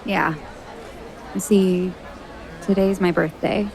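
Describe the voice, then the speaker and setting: An actress playing a wry, awkward young American woman adventurer in a fantasy audio drama, with an American accent and a creaky voice. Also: on the low side, fairly even intonation, takes it slowly, darkish tone, some chatter behind, a little hiss or hum, rough recording. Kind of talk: naturalistic audio drama acting.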